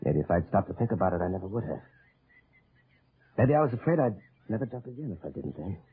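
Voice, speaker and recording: A man's voice: fairly low pitch, big pitch swings, fast talker, husky, full character voice. An actor playing an American army captain with an American accent, dramatically recounting his mission in a 1950s radio drama. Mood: candid. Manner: dramatic, reflective first-person narration. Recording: noisy, tinny old radio recording.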